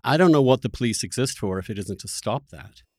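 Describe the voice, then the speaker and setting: A man's voice: medium pitch, animated, brisk, a little mellow, dry recording, studio quality. A middle-aged man hosting a conversational political debate, arguing emphatically in formal phrasing. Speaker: podcast host.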